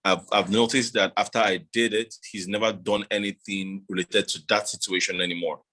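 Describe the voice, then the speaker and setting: A man being interviewed, talking in a measured, reflective way with many "you know"s. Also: on the low side, little pitch movement, bright, forceful tone. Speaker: guest. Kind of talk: casual interview.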